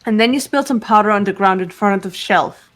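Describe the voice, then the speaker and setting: A woman voicing a calm young female investigator who questions others in measured tones. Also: medium pitch, faint music behind, natural voice. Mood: probing. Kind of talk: fan voice acting of a game.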